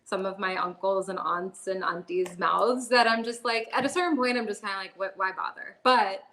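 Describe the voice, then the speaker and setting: Woman podcast guest, bright kinda sharp voice, thinks out loud w lots of fillers. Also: medium pitch, fairly even intonation, brisk. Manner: reflective, talks in long winding sentences with many fillers. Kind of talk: casual podcast conversation.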